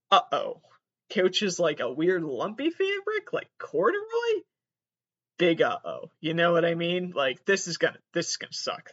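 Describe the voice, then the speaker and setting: Male podcast host, North American, notably high voice. Casual, profane, self-mocking solo rambler, recorded dull on a laptop. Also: fairly bright tone. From Massachusetts, United States. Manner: self-deprecating, profane, digressive. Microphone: built-in laptop microphone.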